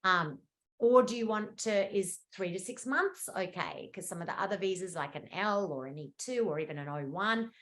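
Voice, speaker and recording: A woman's voice: on the low side, a bit bright. Chatty Australian woman on a video call. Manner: chatty, fast, lots of fillers and restarts. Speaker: immigration lawyer presenting at a webinar. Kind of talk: webinar presentation. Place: indoor room at home or office.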